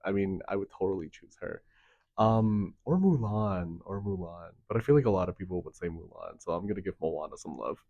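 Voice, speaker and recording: A twenty-year-old man giving relaxed, rambling interview answers with lots of fillers. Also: low-pitched, brisk, creaky, no room sound, lo-fi sound. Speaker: contestant.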